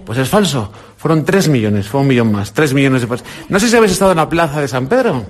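A man's voice: medium pitch, big pitch swings. A middle-aged man with a Spain Spanish accent, a radio presenter running an encouraging quiz with a children's group. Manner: encouraging, quizzing with quick questions. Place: indoor visit with a children's group.

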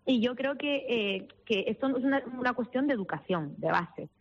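A woman's voice: fairly high pitch, animated, moderate pace, dull audio, slight background noise. A woman lawyer with a Canarian accent tinged with Castilla-La Mancha, speaking earnestly as a radio guest, full of fillers and restarts. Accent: Canarian mixed with Castilla-La Mancha. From Canary Islands. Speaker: lawyer for minors and immigrants. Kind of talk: radio interview guest on the phone.

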